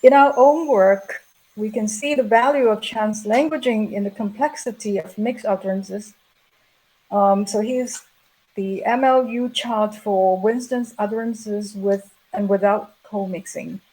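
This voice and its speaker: A middle-aged woman giving a measured, explanatory plenary lecture at an academic conference. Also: mid-range voice, a little mellow, low-quality recording. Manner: measured, explanatory, reading prepared remarks. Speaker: plenary speaker.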